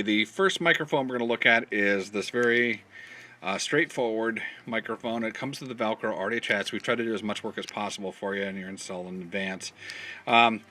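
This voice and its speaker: A man giving an informal, step-by-step product demonstration, explaining in a halting way as he goes. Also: mid-range voice, pushed and bright. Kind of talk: hands-on instructional demonstration.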